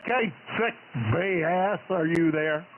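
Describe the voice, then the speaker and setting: High-pitched older man over staticky radio. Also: slow pace. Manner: folksy, rambling. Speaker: amateur radio operator. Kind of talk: casual radio chat. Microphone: radio transmission. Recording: narrowband ham radio, loud static noise.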